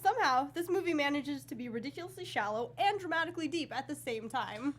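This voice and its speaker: A woman's voice: high-pitched, lively intonation, fast, a little hiss or hum. A woman co-hosting a film review show in casual, conversational chat.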